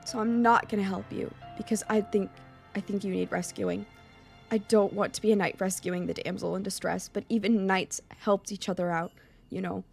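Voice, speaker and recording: A woman's voice: medium pitch, steady tone, moderate pace, creaky, breathy edge, soft background music. A woman voicing a devoted, supportive teenage boy in love, speaking sincerely and insistently in a visual novel. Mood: earnest, insistent.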